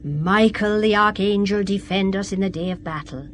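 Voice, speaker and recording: An actress playing a garrulous, devout middle-aged Irish widow from the country in a radio drama, folksy and inquisitive. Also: female voice, medium pitch, moderate pace, slight background noise, natural voice. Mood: declaiming. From west of Ireland.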